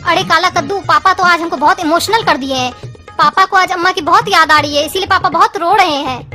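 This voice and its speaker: An emotional young cartoon girl voiced by a woman pleads earnestly. Music plays clearly behind her. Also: very high-pitched, pushed and bright. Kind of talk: cartoon skit dialogue.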